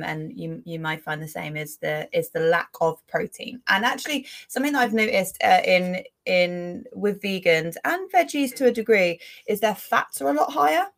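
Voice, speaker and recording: A woman podcast host with a slightly low voice chats casually as she interviews. Her manner is enthusiastic and informal, and she repeats words for emphasis. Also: vocal fry.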